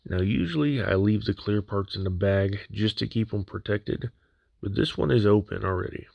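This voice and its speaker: Low-voiced male hobbyist, laid-back and wry, voice slightly worn, dry close-mic voice-over. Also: normal speed, vocal fry, a little mellow, no echo. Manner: casual, dry humor. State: recovering from near voice loss. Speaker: scale model builder. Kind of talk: voice-over unboxing walkthrough.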